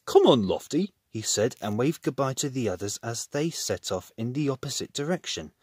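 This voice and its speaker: A man narrating a children's storybook in an expressive reading style. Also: mid-range voice, moderate pace, slightly rough, no echo, studio quality.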